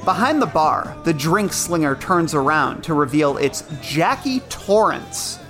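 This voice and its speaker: A man delivers scripted dramatic narration, setting scenes as a storyteller would. His voice sits a little high. A clear music bed runs behind him. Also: sing-song, a little slow.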